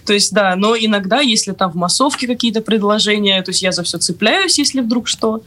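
A woman's voice: mid-range voice, brisk, fairly bright tone, slight background noise. A young woman speaking as an interview guest in casual chat. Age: young adult. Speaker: interview guest, drama student.

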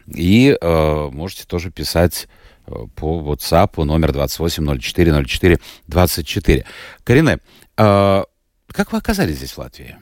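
A man hosts a radio interview, questioning his guest in a lively, playful way. His voice sits very low and swings widely in pitch. The studio mic sound is dry and close. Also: normal speed, no room sound. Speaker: radio host. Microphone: studio microphone.

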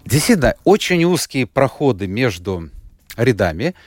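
A man's voice: medium pitch, sing-song, moderate pace, no echo. A man being interviewed who talks in a rambling way, with many fillers and restarts, and sounds like he is getting over an illness. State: unwell, recovering. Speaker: radio host, guest here. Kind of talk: relaxed conversational interview.

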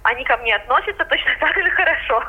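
A woman's voice: high voice, animated, fast. A woman in her twenties, a guest in a phone interview, speaking in an articulate, composed way. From Latvia. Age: 20s. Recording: tinny phone line.

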